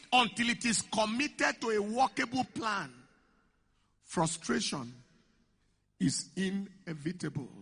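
A man preaching a sermon, stressing key phrases and repeating them slowly. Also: high voice, creaky, bright, forceful tone, breathy edge. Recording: echoey hall.

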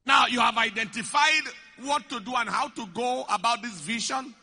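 A preacher delivers a sermon in a very high, sharp voice with a breathy, husky edge. He leans on each key phrase and repeats it slowly for weight. His words echo around a large hall. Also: soft background music. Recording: echoey hall.

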